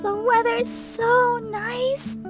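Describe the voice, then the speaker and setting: A woman voicing a sweet, happy-go-lucky teenage girl in an animated video game, in a gentle, unhurried, girlish manner. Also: very high-pitched, over music, exaggerated voice. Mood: dreamy delight.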